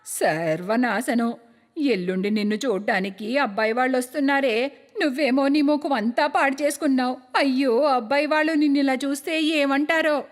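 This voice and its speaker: A woman voicing a fretful older mother in a cartoon story, melodramatic and drawn-out. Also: high-pitched, lightly acted. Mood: dismayed. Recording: long hall-like reverb.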